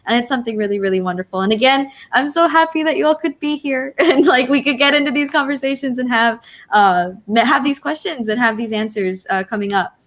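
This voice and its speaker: A woman's voice: high voice, brisk, hardly any pauses. A woman hosting an author panel, talking in an informal, wordy way and often saying "like". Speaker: panel host. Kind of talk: casual interview hosting.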